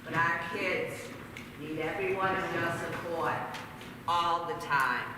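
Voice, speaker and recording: A man speaking at a public school board meeting, emphatic and indignant, repeating his points. He is heard from a floor mic that partly cuts out. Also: a little high. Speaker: resident speaking at public comment. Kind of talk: impassioned public comment. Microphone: floor mic, partly cut off, heard from far. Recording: echoey hall from far off, noisy.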